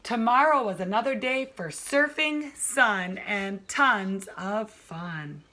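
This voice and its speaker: Female kindergarten teacher, storybook read-aloud, very bright tone, unhurried pace. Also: medium pitch, expressive. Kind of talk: children's storybook narration.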